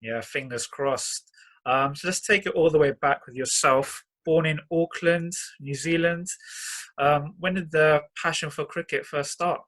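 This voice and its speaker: A man's voice: on the high side, unhurried, a bit bright. A man hosting an interview, asking questions in a friendly, conversational way. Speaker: interview host.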